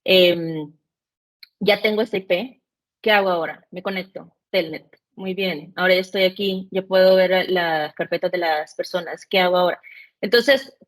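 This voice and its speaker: A woman's voice: lowish voice, fairly even intonation, moderate pace. A woman in her thirties, born in Mexico and raised in El Salvador, with a Salvadoran Spanish accent, chatting as an interview guest with many fillers and tag questions. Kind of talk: casual interview chat.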